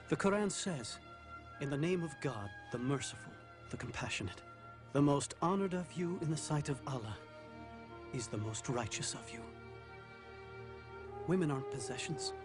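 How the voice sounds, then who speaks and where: A man giving dramatic scripted narration for an animated religious story, in an earnest and reverent manner. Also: medium pitch. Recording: background music under the voice.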